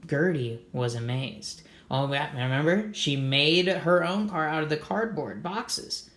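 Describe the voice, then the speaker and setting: A man's voice: fairly high pitch, measured pace, fairly bright tone, roomy sound. A man reading a children's storybook aloud, adding simple, encouraging comments for young listeners. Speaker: read-aloud host for children.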